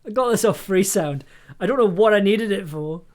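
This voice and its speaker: A woman's voice: medium pitch, expressive, normal speed, a little mellow. A woman chatting casually on a livestream as she edits, thinking aloud in a giggly, self-deprecating way. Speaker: audio drama producer and streamer. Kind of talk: casual livestream chat while editing.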